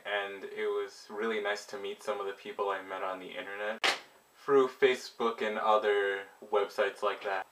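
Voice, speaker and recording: A man's voice: fairly low pitch, sharp tone, rough recording. A man narrating a vlog and telling stories to his viewers. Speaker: vlogger.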